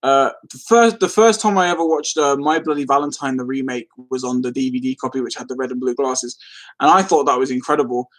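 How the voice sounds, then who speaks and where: A man's voice: mid-range voice, a little fast. A man hosting a casual live stream, rambling as he replies to comments and easily amused. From London, England.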